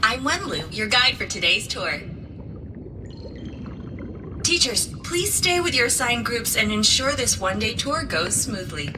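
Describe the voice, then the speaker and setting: A woman's voice: on the high side, sing-song, unhurried, pauses often. An actress playing a polite female tour guide in a video game, formal and welcoming as she instructs a group. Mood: welcoming, instructive. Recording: distant game audio under clear music. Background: music.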